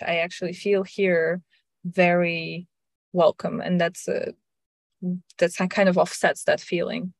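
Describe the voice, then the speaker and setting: This is a woman from Russia, speaking in a slightly low voice. She chats in a reflective, thoughtful way, often restarting her sentences. The sound is dry and close. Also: no echo. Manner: reflective, with frequent restarts and soft laughs. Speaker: guest sharing her story. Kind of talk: casual chat.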